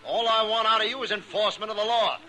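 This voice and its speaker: An actor playing a smug, stubborn landowner in a 1950s radio western, curt and self-righteous. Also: male voice, sits high, fast, sharp tone. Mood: demanding. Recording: noisy old radio recording.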